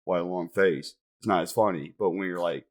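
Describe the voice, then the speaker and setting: Male co-host, low voice, excitable, laughs easily, casual chat. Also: fairly quick. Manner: excitable, voice jumps around when excited, laughs often. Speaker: podcast co-host.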